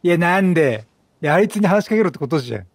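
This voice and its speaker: A man doing excitable, chatty game commentary, reacting aloud and bantering with the characters. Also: a little high, very fast, husky, no room sound. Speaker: gaming streamer, let's play host.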